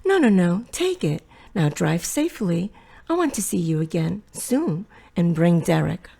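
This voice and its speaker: Woman acting a fussing mother, swooping, creaky voice. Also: on the low side, big pitch swings, unhurried, dark tone, full character voice. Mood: pushy, motherly. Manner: fussing, maternal, probing. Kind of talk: audio drama acting.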